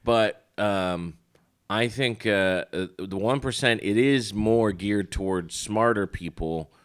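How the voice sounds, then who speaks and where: A man co-hosting a podcast in casual, chatty talk, his voice slightly shot and hoarse. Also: on the low side, slow pace. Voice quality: slightly worn, tired-sounding voice. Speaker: podcast co-host.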